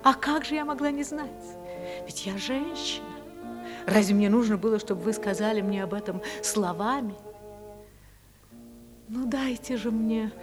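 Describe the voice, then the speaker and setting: A woman's voice: mid-range voice, lightly acted. An actress in a radio play playing a passionate married woman in forbidden love, theatrical and yearning.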